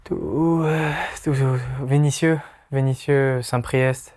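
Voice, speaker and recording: A young man in his twenties with a French accent, answering interview questions in halting English, searching for words and laughing easily. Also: medium pitch, fairly even intonation, darkish tone. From France. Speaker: footballer. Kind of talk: casual interview.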